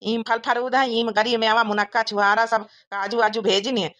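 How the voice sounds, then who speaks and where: A woman's voice: fairly high pitch, flat delivery, moderate pace, fairly bright tone, natural voice. A woman voicing a generous, chatty younger brother visiting his sister in a village cartoon comedy, with an Awadhi accent. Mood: cheerful, chatty.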